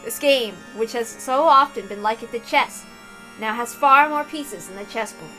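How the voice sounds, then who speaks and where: A woman reading a visual novel aloud, with expressive narration and casual asides. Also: on the high side, moderate pace, soft background music, low-quality recording.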